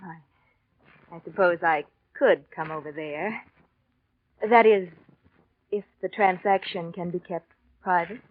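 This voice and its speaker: An actress playing a seductive, secretive, self-assured art patron with a coy, measured delivery in a 1940s radio drama. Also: female voice, lowish voice. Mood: coy, insinuating. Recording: dull lo-fi 1940s radio recording.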